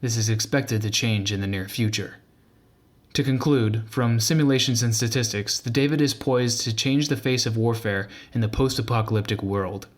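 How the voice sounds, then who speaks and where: Measured male documentary narrator, mid-pitched. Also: fairly even intonation. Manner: measured, formal. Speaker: video narrator.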